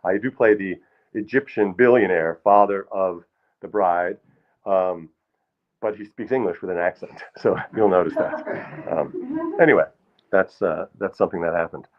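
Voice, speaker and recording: Middle-aged guy rambling thru funny stories on stage, lowish voice, kinda dull lo-fi sound. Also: expressive, moderate pace. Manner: rambling, self-deprecating, many restarts. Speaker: storyteller performer. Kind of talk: live comedic storytelling. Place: small hall with live audience.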